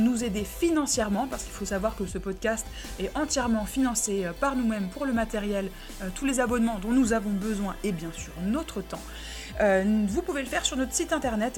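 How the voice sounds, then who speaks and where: A woman co-hosting a podcast, chatty and lively, reading announcements in a friendly casual way. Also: mid-range voice. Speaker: podcast co-host. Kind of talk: casual chat and scripted outro.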